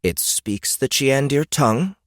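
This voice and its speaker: A man voicing a female beast-folk elder in a fantasy audiobook, haughty and erudite, with clipped, precise diction and a deliberate manner. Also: medium pitch, lively intonation, dry recording, clean studio sound, voice pushed up, close to an everyday voice. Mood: intrigued. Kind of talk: fantasy audiobook character acting.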